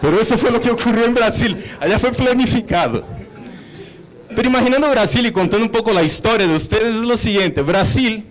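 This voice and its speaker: A man's voice: high voice, expressive, brisk, low-quality recording. A middle-aged man from Brazil, a native Portuguese speaker with a Brazilian accent, giving an informal conference talk as a panel speaker. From São Paulo, Brazil. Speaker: hotel executive, panel speaker.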